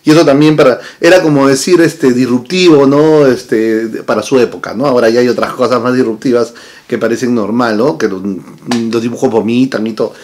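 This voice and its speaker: Guy in his 40s vlogging at his desk, chatty, keeps tossing in little questions, lively voice. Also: mid-range voice, a little fast. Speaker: channel host. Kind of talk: casual nostalgic vlog. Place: indoor room with toy-filled shelves. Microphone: fixed camera at desk distance.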